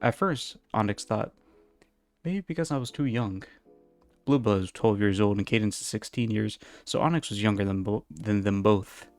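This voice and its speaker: A man's voice: fairly low pitch, fairly even intonation, moderate pace, few pauses, darkish tone, no room sound, soft background music. A man reading a story aloud in an informal, amateur storytelling style. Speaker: fan fiction reader. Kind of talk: storybook narration, casual intro.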